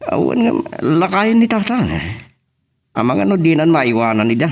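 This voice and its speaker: A man's voice: a little high, very animated, moderate pace, gravelly, slight background noise. A man acting an earnest, burdened husband and father in an Ilocano audio drama. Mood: troubled.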